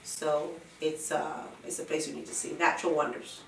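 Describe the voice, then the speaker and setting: A woman's voice: low-pitched, flat delivery, normal speed, creaky, slight background noise. A woman in her fifties from Barbados with a Barbadian accent, answering questions in a casual documentary interview. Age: 50s. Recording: roomy, distant microphone, music behind.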